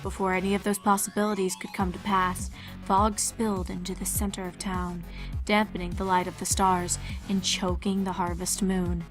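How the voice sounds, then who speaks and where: A woman's voice: mid-range voice, little pitch movement, moderate pace, poor audio. A woman reading fan fiction aloud as a dramatic scripted narration. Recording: music behind the voice. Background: background music.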